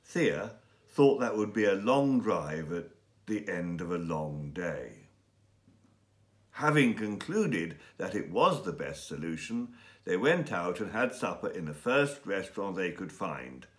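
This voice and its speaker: Male storyteller, sixties. Slightly low voice, unhurried, careful diction for language learners. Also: big pitch swings. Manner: careful, deliberate enunciation. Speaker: story reader. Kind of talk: scripted story narration for English learners. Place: small indoor room with bookshelves and window.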